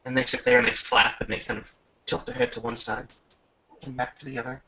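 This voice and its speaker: Gravelly, bright-voiced man running a game. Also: mid-range voice, sing-song. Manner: halting, pausing often mid-sentence. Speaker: game master. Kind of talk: improvised narration of a tabletop game. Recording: dull lo-fi home microphone.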